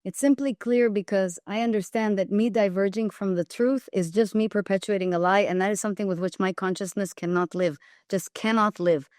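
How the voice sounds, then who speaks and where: A woman's voice: mid-range voice, fairly quick, hardly any pauses, a little mellow, dry recording, clean studio sound. A woman with an Israeli accent, a guest on an interview podcast, who speaks emphatically and repeats phrases for weight.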